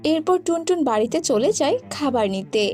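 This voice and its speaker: A woman narrates a children's tale in a high voice. Her delivery is scripted storytelling for a cartoon, with faint music underneath. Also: expressive, normal speed, a little mellow.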